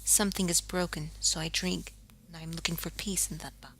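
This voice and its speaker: An actress voicing a grieving jazz singer who narrates her own story in a quiet, bitter, world-weary way, often sinking to a whisper. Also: fairly low pitch, fairly even intonation, brisk, slight background noise, rough recording. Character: grieving, haunted woman jazz singer seeking revenge. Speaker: game character. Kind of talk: scripted game voice acting, confessional narration. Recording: faint music underneath.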